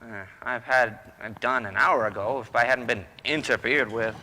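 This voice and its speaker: A man's voice: mid-range voice, steady tone, moderate pace, sharp tone. A man playing a folksy, stubborn, wisecracking husband in an old-time radio comedy, boastful and loose with his grammar. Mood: blustering, stubborn. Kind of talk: 1940s radio comedy acting. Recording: roomy hall reverb, noisy background.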